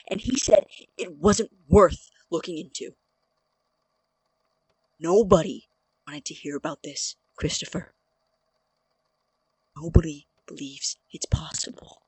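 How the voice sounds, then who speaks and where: An actor playing a nervous, defensive male college student scientist in an audio drama, with a halting, earnest delivery and a slightly husky, breathy voice. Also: medium pitch, no room sound, heavily acted, rough recording.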